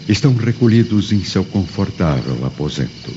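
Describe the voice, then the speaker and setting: A man's voice: low-pitched, sing-song, normal speed, warm tone, slight background noise. A man delivering solemn, theatrical scripted narration for a radio drama. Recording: long reverb, dull audio, music behind.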